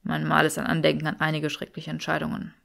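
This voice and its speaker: A woman's voice: low voice, completely flat delivery, quick pace, creaky, fairly bright tone, heavily acted. A woman voicing a brooding, self-reproachful, haughty man with dry wit in a fiction audiobook. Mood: rueful.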